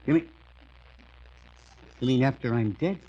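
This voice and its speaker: An actor playing a brash, cynical condemned convict with tough, slangy talk in an old-time radio drama. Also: male voice, mid-range voice, unhurried, warm tone, breathy edge. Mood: incredulous. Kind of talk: 1940s-50s radio drama acting. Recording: muffled old radio broadcast recording.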